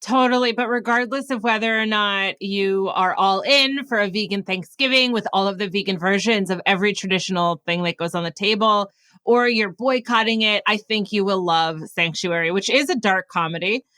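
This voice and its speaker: A woman podcast host with a bright, forceful voice at a middle pitch. She introduces the show conversationally, recorded very dry. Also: moderate pace, no echo.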